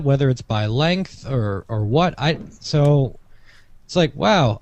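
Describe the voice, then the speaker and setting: A man's voice: on the high side, a little mellow, no echo. A man chatting casually as a panelist, with a wry, self-deprecating sense of humour. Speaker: panelist, video creator. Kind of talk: casual chat.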